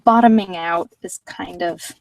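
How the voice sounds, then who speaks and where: A woman's voice: on the low side, a little slow, vocal fry, dry recording. Woman chatting casually, slightly distant mic. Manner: conversational, informal, anecdotal asides. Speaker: host giving tarot card readings. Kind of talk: casual chatty live tarot reading.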